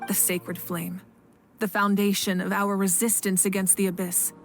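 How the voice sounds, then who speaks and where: Composed goddess-ruler, a woman in the role, measured and patient, game cutscene with faint music. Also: mid-range voice, flat delivery, lightly acted. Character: calm, authoritative female fire goddess ruler. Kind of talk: game voice acting.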